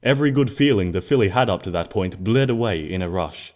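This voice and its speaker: A man narrating a scripted story expressively, in a gentle storybook manner. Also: fairly low pitch, lively intonation, fairly quick. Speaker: fan fiction narrator.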